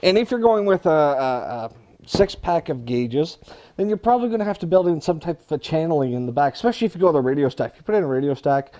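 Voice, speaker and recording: A man giving hands-on instruction in a workshop, talking viewers through his work in a relaxed, practical way while he works at the bench. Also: fairly high pitch, fast. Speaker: aircraft builder demonstrating.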